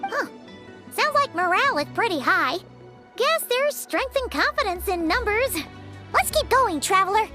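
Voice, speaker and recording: A woman's voice: very high-pitched, very animated, moderate pace, heavily acted. A woman voicing a small, cheeky, blunt fairy-like girl companion in a video game, exclaiming and talking about herself in the third person. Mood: musing. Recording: loud music under the voice.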